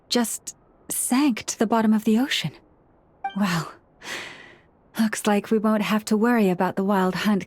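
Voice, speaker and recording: A woman voicing a gentle, earnest young moon priestess in a video game, speaking softly and with measure. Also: medium pitch. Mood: quiet wonder. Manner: soft-spoken, earnest, measured.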